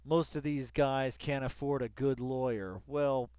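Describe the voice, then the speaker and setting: A man's voice: mid-range voice, fairly even intonation, a little slow, a little mellow, smooth. A man hosting a casual read-along commentary in slangy, colloquial speech, emphatically repeating phrases and addressing people directly. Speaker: online video host.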